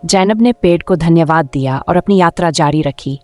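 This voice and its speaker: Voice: mid-range voice, moderate pace, a little mellow. A narrator reading a scripted children's story in a storytelling style. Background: music.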